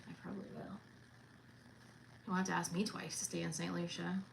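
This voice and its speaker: A woman's voice: fairly low pitch, flat delivery, brisk, vocal fry, slight background noise, rough recording. A woman in her thirties co-hosting a cruise livestream, chatting casually with her friend and teasing her with frequent laughter. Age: 30s.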